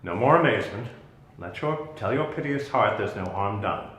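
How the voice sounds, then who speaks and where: An actor playing a protective, commanding exiled magician duke and father, in solemn, deliberate Shakespearean verse. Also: lowish voice, lively intonation, a bit bright. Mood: reassuring, solemn. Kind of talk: Shakespearean dramatic reading. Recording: roomy, echoing sound.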